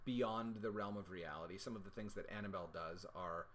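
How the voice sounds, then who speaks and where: A man's voice: sits low, brisk, a bit bright, slight background noise. A middle-aged man co-hosting a casual livestream chat, talking in a playful, mock-serious and wordy, wry way. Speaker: children's author and co-host of a readalong show.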